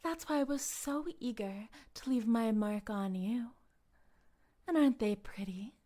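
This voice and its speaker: A woman playing a smug, possessive female cult leader in an intimate roleplay monologue, speaking deliberately and teasingly, often slipping toward a breathy whisper. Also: fairly high pitch, mellow, close to an everyday voice. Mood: gloating, seductive.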